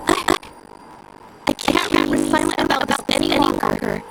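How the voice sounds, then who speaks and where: A woman's voice: rumbling low, monotone, moderate pace, creaky, over loud music, exaggerated voice, rough recording. An actress playing an earnest, determined young woman in a video game, sincere and resolute in manner. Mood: nervous, resolute.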